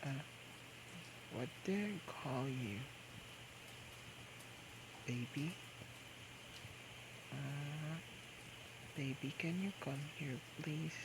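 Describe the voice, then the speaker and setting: A drunk young boyfriend, acted by a man, clingy and playful in an intimate ASMR roleplay. His voice sits a little high and moves very slowly, slurring and coaxing as it stumbles along. The homemade recording has clear background noise. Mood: tender, sly. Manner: slurred, drawn-out, coaxing, halting drunken talk. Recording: noisy homemade microphone recording.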